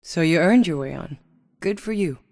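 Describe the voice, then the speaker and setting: Casting: tough female sci-fi game mercenary. Low-pitched, sardonic and blunt, recorded close and dry. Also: animated, normal speed, vocal fry, darkish tone, no echo. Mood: teasing, casual. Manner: wry, blunt, colloquial. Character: tough, sardonic woman mercenary on an alien colony. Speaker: video game protagonist voice actor. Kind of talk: scripted game dialogue.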